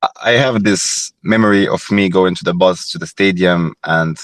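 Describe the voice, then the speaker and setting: Young male guest, deep and dry, thoughtful with many restarts. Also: moderate pace, a bit bright, dry recording. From Morocco. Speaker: former goalkeeper, guest. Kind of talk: reflective podcast interview.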